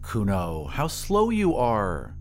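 A man voicing an irritable, hurried mother in an audio drama, exclaiming impatiently. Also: on the low side, huge pitch swings, soft background music, full character voice. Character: irritable, impatient mother, swaddled and pale, devoted to machine.

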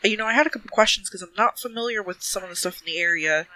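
A man's voice: sits high, racing, pushed and bright, rough recording. A man playing a tabletop role-playing game, improvising casual dialogue with polite, hedging questions.

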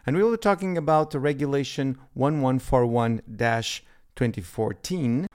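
A man hosting a podcast, introducing guests in a measured, formal way. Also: medium pitch, a little mellow. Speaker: podcast host. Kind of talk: scripted podcast intro and interview.

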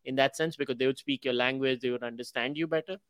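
A man's voice: mid-range voice, fairly even intonation, quick pace, a bit bright, clear, resonant tone, dry recording. A man from India, hosting a podcast and asking long, probing questions, often repeating words as he builds his point. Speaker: podcast host. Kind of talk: interview questions.

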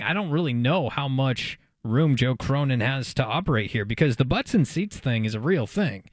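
A man of average pitch chats as co-host of a sports show. He works through his opinions out loud, a little hesitantly. The recording is dry, with no room sound. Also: dry recording. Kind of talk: conversational sports talk.

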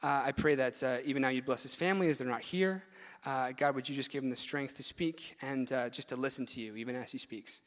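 A man in a church introducing the guest speaker and praying for him, quick and a little halting with fillers. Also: mid-range voice. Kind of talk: introduction and prayer. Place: church hall. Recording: long church hall reverb.

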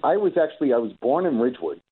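A man's voice: medium pitch, sing-song, very fast, slightly rough. Guest musician, male, from New York, loose rambling talker, heard over a thin phone-like line. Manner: rambling, many restarts and fillers. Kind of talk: casual interview chat.

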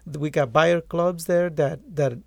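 Gravelly man from Guatemala. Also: a little high, steady tone, measured pace, a little mellow, no room sound, clean studio sound. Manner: earnest, restarts phrases often. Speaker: poultry farmer and author. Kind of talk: conversational interview answers.

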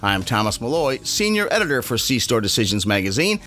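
A man's voice: medium pitch, sing-song, brisk, pushed and bright. A man hosting a podcast, asking questions in a conversational interview style. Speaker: podcast host, magazine editor.

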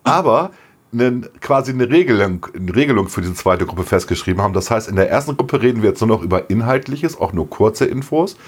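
A middle-aged man co-hosting a podcast, slightly hoarse, chatting casually and laughing often. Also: on the low side, expressive, a little fast. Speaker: podcast co-host. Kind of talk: casual chat.

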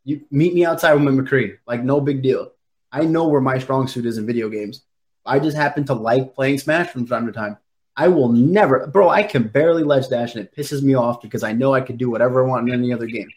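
A man's voice: mid-range voice, a little fast, darkish tone. A man chatting on a podcast in a laid-back, blunt, profane way. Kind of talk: casual podcast chat.

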